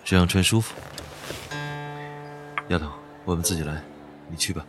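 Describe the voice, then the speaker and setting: Audio drama role, male actor: reserved wealthy young man, curt and understated, very low register. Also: fairly even intonation, normal speed, over music, lightly acted. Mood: cool. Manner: terse, cool, understated.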